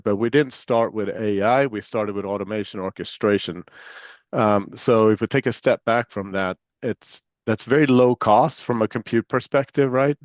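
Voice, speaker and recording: A man from Sweden with a Swedish accent blended with American Southern tones, answering interview questions in a relaxed, filler-filled way. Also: on the low side, no room sound, lo-fi sound. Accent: Swedish mixed with American Southern. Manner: relaxed, many fillers and restarts. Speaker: guest, security executive.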